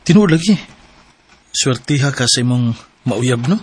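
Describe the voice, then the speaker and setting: A man's voice: medium pitch, expressive, measured pace, slightly rough, no room sound. An actor playing an earnest young man courting a woman in a radio drama, speaking sincerely and conversationally.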